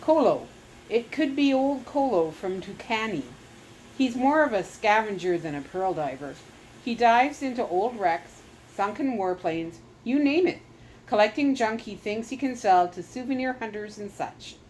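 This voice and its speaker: A man's voice: sits high, a little hiss or hum, rough recording. A woman voicing an anxious island missionary who warns his friends urgently. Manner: urgent, warning.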